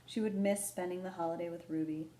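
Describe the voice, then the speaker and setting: A woman hosting a children's storytime, explaining things simply and gently and reading a picture book aloud. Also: fairly low pitch, steady tone, quick pace. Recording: roomy echo, background noise.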